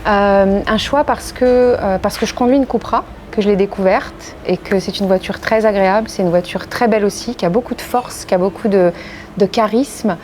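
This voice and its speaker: A woman from France giving measured, reflective and earnest interview answers as a legal professional. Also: mid-range voice, a little mellow, slight background noise. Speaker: interviewee, corporate legal and compliance executive.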